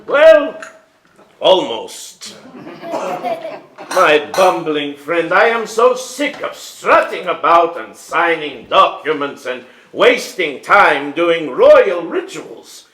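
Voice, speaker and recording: A man's voice: on the high side, very animated, drawn-out words, a bit bright, exaggerated voice. A man playing a jovial, restless king in a staged musical reading, speaking in a grand, formal, theatrical manner in a roomy live recording. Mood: warm, earnest. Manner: theatrical, grand, formal old-fashioned phrasing. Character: jovial, restless king, fond of his bumbling young page.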